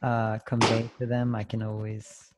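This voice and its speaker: A young man chatting casually on a video call from his living room, relaxed and a bit hesitant with lots of fillers. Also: on the low side, a little slow, noisy background. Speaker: new business recruit. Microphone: desktop studio mic on video call.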